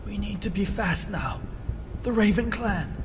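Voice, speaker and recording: An actor playing an earnest male team strategist in a video game cutscene, speaking in a measured, dramatic way. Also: high voice. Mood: urgent. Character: earnest man, wounded team strategist recounting island legends. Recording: reverberant hall, clear background noise.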